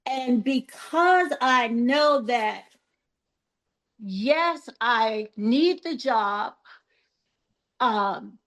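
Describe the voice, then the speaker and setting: An older woman speaks softly and earnestly in a fairly high voice. She talks slowly and stops often to pick her words. Her measured, reflective way of talking suits a podcast guest. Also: lively intonation. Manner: soft-spoken, measured and earnest, with pauses and fillers. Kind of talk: reflective interview talk.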